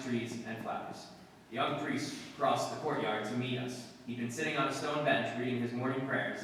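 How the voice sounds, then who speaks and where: An actor playing a dry, laconic police detective sergeant in a radio drama, with clipped, deadpan delivery. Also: male voice, medium pitch, lightly acted. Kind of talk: 1950s radio police drama acting, scripted narration. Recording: distant, echoey hall with long reverb, hiss.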